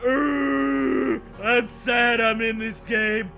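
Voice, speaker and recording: Man doing a shrill, wailing cartoonish monster. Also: fairly even intonation, very slow, vocal fry, pushed and bright, exaggerated voice. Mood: mock sad. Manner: melodramatic wailing and calling out. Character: silly whiny spider fish monster shrieking for help. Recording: music behind the voice.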